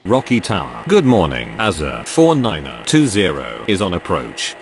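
A man with a very low, creaky voice gives scripted aviation calls. His pitch moves dramatically, over clear engine-like noise. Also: wildly swooping pitch, normal speed. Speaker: radio voice in an air traffic control game. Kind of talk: scripted aviation radio phraseology. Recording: clear vehicle-like background noise.

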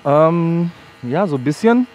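A man's voice: fairly high pitch, lively intonation, slow, a little mellow, highs cut off, soft background music. A man in his thirties, a barber, casually explaining his work through a headset mic in a sports hall. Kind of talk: casual explanation while working. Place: large indoor sports hall.

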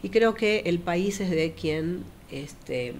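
A middle-aged woman with an Argentine accent, speaking as a studio guest in a thoughtful, measured way with frequent fillers. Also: on the low side, animated, darkish tone, slight background noise. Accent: Argentine Spanish. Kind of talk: casual studio conversation. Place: small TV studio.

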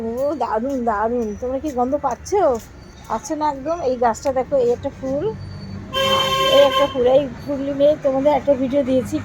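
A woman's voice: high-pitched, low-quality recording. A woman chatting casually and effusively to viewers as she vlogs in her home garden, often repeating herself. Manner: effusive, repetitive, showing things to viewers. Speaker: vlogger and host of own page.

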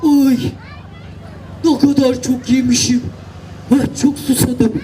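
A woman puts on an overfed storybook wolf, groggy and groaning. The wolf complains in a high voice, a little lower than the teller's own. A faint crowd is heard behind. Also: little pitch movement, slow, mellow, distant mic. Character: overfed, groggy, thirsty big bad wolf. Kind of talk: live children's storytelling. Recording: live event, faint crowd and noise.

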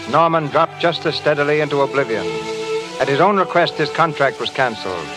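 A man narrating a scripted radio play in a formal, measured 1930s announcer style. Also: a little high, rough recording. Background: music.

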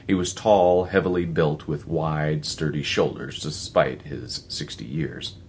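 A man in his fifties reading a story as a voice actor, in a measured, expressive, dramatic narration. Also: rumbling low, slight background noise. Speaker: voice actor, audiobook reader. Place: living room, indoors.

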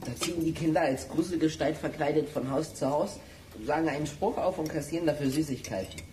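A man's voice: a little high, a little fast, noisy background, close to an everyday voice. An actor playing a level-headed, encouraging boy detective in a children's radio play, prompting and reasoning. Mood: thoughtful.

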